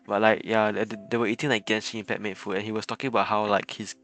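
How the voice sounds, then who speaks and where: A man chatting casually on a game stream, halting and often repeating his words. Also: on the low side, flat delivery, a bit bright, faint music behind. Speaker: live streamer.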